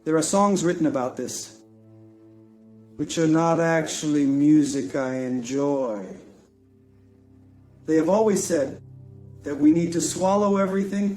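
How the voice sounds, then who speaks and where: A man performing a dramatic poetic monologue in a solemn, deliberate manner with weighty pauses. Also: fairly high pitch, a little mellow. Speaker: spoken-word poet. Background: music behind the voice.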